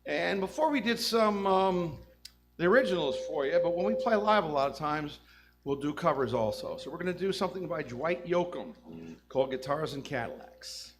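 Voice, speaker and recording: A man's voice: on the high side, expressive, normal speed. A man from Orlando, Florida, fronting a country band in a live session, introducing and singing songs casually. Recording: live music session, instruments loud behind. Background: guitar and country band music.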